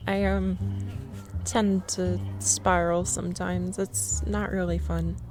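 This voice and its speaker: A shy young woman talks softly in a slightly low voice, rambling nervously and laughing at herself. It is a scripted ASMR roleplay, with faint music behind her. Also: little pitch movement, slow.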